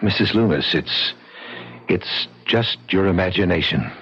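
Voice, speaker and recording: An actor playing a grave, weary man at a deathbed in an old-time radio drama, speaking haltingly and solemnly. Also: low-pitched, animated, music in the background. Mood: grave, regretful. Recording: dull old radio recording.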